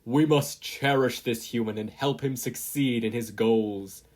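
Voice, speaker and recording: Booming giant robot leader for an audiobook, voiced by a narrator: solemn, grand, reverent, husky edge. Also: a little slow, darkish tone, lightly acted. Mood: solemn, proclaiming.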